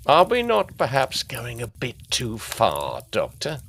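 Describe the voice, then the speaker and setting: An actor playing a sceptical, disapproving gentleman, incredulous and reproving, in a period gothic audio drama. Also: mid-range voice, wildly swooping pitch, takes it slowly, slight background noise, heavily acted.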